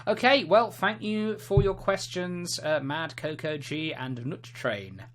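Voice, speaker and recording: Podcast host, medium pitch, conversational and somewhat hesitant, repeating words while talking games. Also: slow. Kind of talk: casual group chat about video games.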